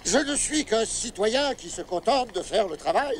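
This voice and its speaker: Un acteur qui double un marshal de petite ville, content de lui, au parler familier et vantard. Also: male voice, high voice, lively intonation, moderate pace, crowd noise. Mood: smug. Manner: folksy, boastful. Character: self-satisfied small-town marshal, gruff and proud. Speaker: town marshal. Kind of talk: French film dub.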